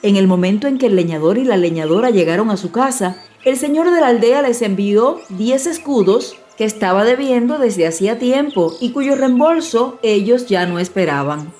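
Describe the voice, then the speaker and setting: Expressive woman storyteller over soft music. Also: on the high side, normal speed, few pauses. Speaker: audiobook storyteller. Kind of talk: scripted dramatized fairy-tale narration.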